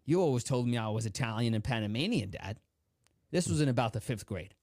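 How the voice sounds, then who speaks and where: A young adult man from the United States, a motivational speaker telling his life story in an interview, acting out the voices of his family with big swings in pitch. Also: brisk, dry recording, studio quality. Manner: animated storyteller, acts out quoted dialogue with big pitch swings.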